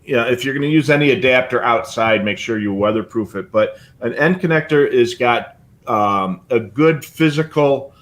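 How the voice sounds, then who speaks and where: A man with a middle-of-the-road pitch talks casually as a co-host on a hobby show. He explains technical details in a practical, plainspoken way. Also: moderate pace, a bit bright.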